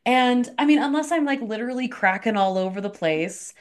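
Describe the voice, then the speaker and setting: An expressive woman with a somewhat high voice, chatting casually about performing and teaching dancers. She speaks in an encouraging way. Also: fairly quick. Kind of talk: casual chat.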